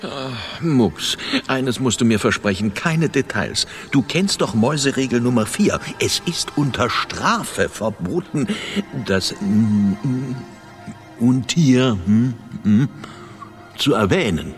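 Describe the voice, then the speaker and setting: A man's voice: mid-range voice, expressive, slow. An actor playing a fussy, nervous and pompous mouse king in a children's radio play. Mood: faint, flustered. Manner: pompous, exasperated, theatrical. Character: fussy, nervous, self-important mouse king, easily overwhelmed father. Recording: hall reverb, music underneath.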